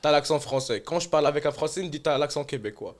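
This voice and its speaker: A man's voice: a little high, very fast. A young man from Morocco, a guest on a podcast, chatting casually in slangy speech with bits of English mixed in. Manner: relaxed slangy talk mixing in English. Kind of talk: casual chat.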